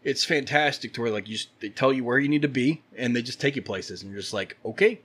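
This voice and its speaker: A man's voice: medium pitch, animated, fast talker, fairly bright tone, husky. A young adult man, a podcast guest, telling a rambling, swearing travel story in casual chat.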